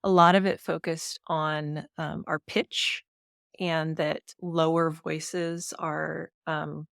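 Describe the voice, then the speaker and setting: A woman with a naturally slightly low voice, co-hosting a casual book-discussion podcast and talking thoughtfully with many fillers. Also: little pitch movement, slow, vocal fry, a bit bright, no echo, studio quality. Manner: thoughtful, with frequent "you know" and "um". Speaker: podcast co-host.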